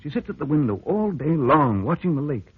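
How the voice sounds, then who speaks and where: An actor playing an anxious, doubtful husband in a vintage radio drama, confiding in an earnest, hesitant way. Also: male voice, on the high side, very animated, a little fast, slightly rough, no room sound, exaggerated voice. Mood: troubled, hesitant. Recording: dry, tinny old radio recording.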